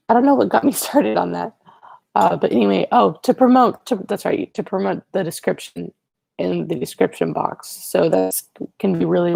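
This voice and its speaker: A teenage boy hosting a casual livestream, rambling and correcting himself as he chats to viewers, his voice raspy today. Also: high-pitched, a little mellow, dry recording. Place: living room, indoors. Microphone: earbud headset mic, webcam.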